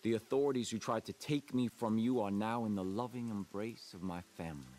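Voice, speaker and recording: A man playing a solemn, messianic doomsday cult leader in a video game, preaching in grave, deliberate, rhetorical monologues. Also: fairly low pitch, darkish tone, natural voice. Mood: grave, prophetic. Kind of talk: dramatic sermon and broadcast monologue.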